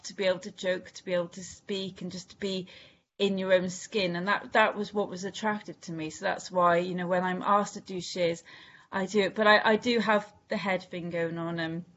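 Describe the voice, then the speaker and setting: A Welsh woman from Wales speaking candidly at a recovery meeting, halting with many restarts and fillers. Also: lowish voice, a bit bright, dull audio. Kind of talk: personal share at a recovery meeting.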